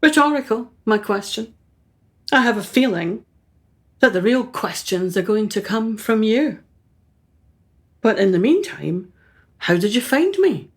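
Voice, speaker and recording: A woman's voice: medium pitch, big pitch swings, measured pace, pauses often. An actress playing a haughty, self-absorbed upper-class mother in a radio play, with a brusque, imperious manner and clipped phrases. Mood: flustered, imperious.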